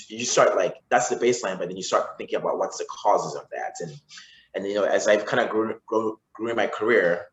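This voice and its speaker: Deep-voiced Zimbabwe-raised man, forties, casual rambling guest in an echoey room. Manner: rambling with frequent restarts and fillers. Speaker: podcast guest. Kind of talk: casual interview conversation. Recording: roomy echo.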